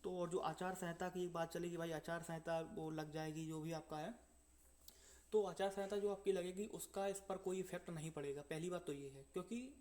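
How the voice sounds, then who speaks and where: एक युवा पुरुष, परीक्षा तैयारी चैनल का होस्ट, दर्शकों से अनौपचारिक अंदाज़ में बात करते हुए, रुक-रुक कर और अंकों को दोहराते हुए समझाता है।. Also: male voice, a little high, steady tone, brisk, a little mellow. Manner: explanatory, repeats numbers, frequent fillers. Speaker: exam-prep channel host. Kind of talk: casual talk to viewers.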